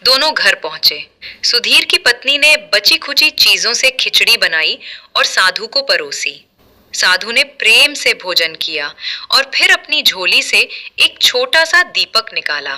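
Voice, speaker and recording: Slow, very bright woman storyteller. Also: on the high side, big pitch swings. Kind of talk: scripted narration of a moral folk tale.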